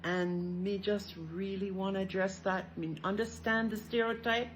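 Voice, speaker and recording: A woman's voice: medium pitch, flat delivery, normal speed, a little hiss or hum. An older woman who grew up in Canada, casually talking to the camera in Jamaican patois. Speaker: vlogger. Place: rural Jamaica, outdoors.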